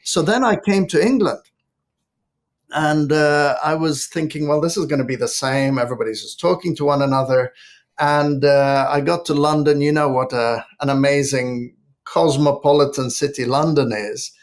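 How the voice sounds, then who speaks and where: A man from Lebanon, a guest author in an interview, telling a humorous anecdote in a lively way. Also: on the high side, normal speed. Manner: lively storytelling. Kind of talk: interview conversation.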